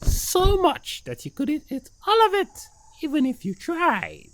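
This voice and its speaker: A woman voicing a plump pigeon in a children's cartoon, reluctant but obliging, with a drawn-out, expressive delivery. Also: sits high, very noisy, exaggerated voice. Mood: boastful, relishing.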